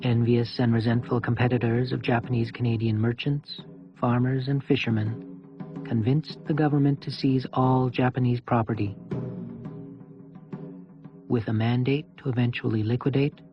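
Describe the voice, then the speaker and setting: A man reads scripted documentary narration in a formal, measured delivery at a medium pitch. Music plays clearly behind him, and the sound is slightly dull. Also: flat delivery.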